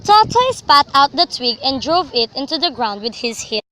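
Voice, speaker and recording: A woman's voice: high-pitched, very animated, moderate pace, pushed and bright, slight background noise. A woman reading scripted literary narration for a student radio drama, in a dramatic storytelling manner.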